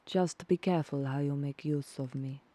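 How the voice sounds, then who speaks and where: A woman playing a solemn poisonous evergreen tree, gentle yet ominous, in a family audio drama. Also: low-pitched, fairly even intonation, normal speed, dry recording. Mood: solemn, regretful.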